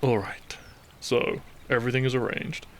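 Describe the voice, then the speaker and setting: An actor playing a devoted gentleman doctor and bridegroom in a romantic audio drama, tender, earnest and polite. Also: medium pitch, fairly even intonation, moderate pace, in a whisper, lightly acted. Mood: polite, surprised.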